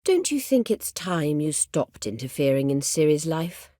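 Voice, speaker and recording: A proud, vengeful sorceress from a fantasy video game. Her voice sits fairly low and swings widely in pitch, recorded close and dry. She speaks in haughty, formal phrases edged with sardonic bite. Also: big pitch swings, mellow, no room sound, exaggerated voice. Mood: measured, persuasive. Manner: haughty, formal, measured, biting sarcasm. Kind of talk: video game character dialogue.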